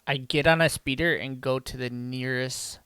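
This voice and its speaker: A man's voice: medium pitch, fairly even intonation, moderate pace, sharp tone, clean tone, dry recording. A man bantering casually and playfully as a podcast co-host playing a game.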